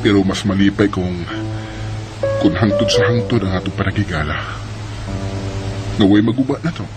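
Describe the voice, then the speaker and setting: A man's voice: fairly low pitch, moderate pace, natural voice, rough recording. A man acting an earnest young suitor and friend in a radio drama, with a Filipino Bisaya accent. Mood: apologetic, earnest. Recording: radio drama with prominent music bed.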